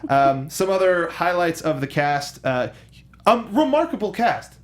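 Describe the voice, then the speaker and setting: A man co-hosting a movie show, giving conversational commentary in an animated way and acting out imagined quotes. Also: sits high, a little slow. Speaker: co-host of a movie discussion show.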